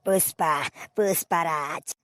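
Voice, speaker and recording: A woman voicing a stubborn, swaggering male rebel in a cartoon film parody, with drawn-out, defiant catchphrase delivery. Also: deep voice, a bit bright, no echo, studio quality.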